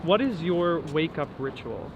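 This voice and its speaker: A man's voice: a little high, lively intonation, unhurried, dull audio. Man firing off brief interview questions. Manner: brief, upbeat questions and short reactions. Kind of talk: quick-fire interview questions. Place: outdoors on a city street. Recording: outdoor street noise.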